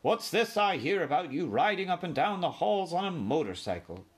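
A man's voice: a little high, very animated, normal speed, voice pushed up. A man voicing a tactless older uncle mouse with a hearty, blunt manner, in a children's story. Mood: jovial.

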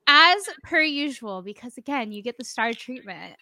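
Female co-host, playful and upbeat, high voice, dry close recording. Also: expressive, moderate pace, pushed and bright, no echo. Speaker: podcast co-host. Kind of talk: casual podcast chat.

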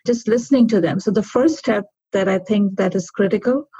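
A middle-aged woman from Pakistan, a physician answering interview questions in an earnest, reflective way with pauses and restarts. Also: mid-range voice, mellow, slightly rough, dry recording. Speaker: guest physician. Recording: dull lo-fi sound.

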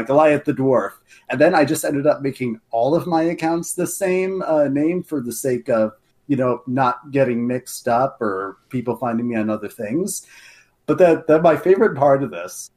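A man in his twenties with a deep bass voice, a podcast guest eagerly telling a story in casual chat, full of uh and um fillers. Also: a little mellow.

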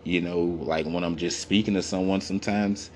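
Man, naturally deep, low-pitched voice, loose rambling profane comic rant, a faint hiss underneath. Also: steady tone, moderate pace. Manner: rambling, repetitive, profane, full of fillers. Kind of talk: comedic rant to camera.

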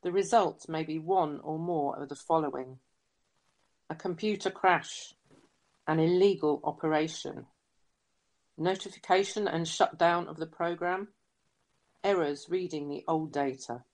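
A woman voicing a cool, calculating hacker entity in a scripted science fiction audio drama, measured and formal. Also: deep voice, steady tone, darkish tone. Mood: measured, explanatory.

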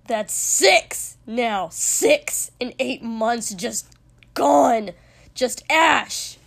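A woman voicing a furious, volatile young man in an audiobook story, with a forceful, explosive delivery. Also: fairly high pitch, expressive, extremely slow, creaky, heavily acted. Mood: enraged, accusing.

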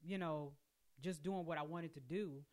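A woman's voice: low-pitched, moderate pace. A woman in her forties, a podcast guest, telling her story chattily with many fillers. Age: 40s. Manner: warm storytelling, many "you know" fillers. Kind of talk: casual group conversation.

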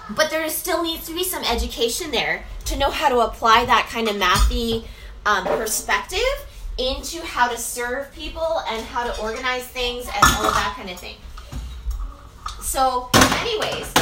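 A woman's voice: fairly high pitch, roomy sound, very noisy. A woman who runs a business, talking in a casual, rambling monologue full of fillers and "right?" tags. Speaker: business owner.

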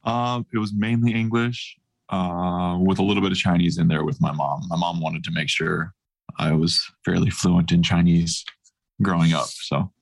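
Deep, gravelly-voiced man, relaxed guest. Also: normal speed. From grew up across Asia, mostly Taiwan. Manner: relaxed, easygoing, thoughtful. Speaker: guest, professional basketball player. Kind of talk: casual interview answers.

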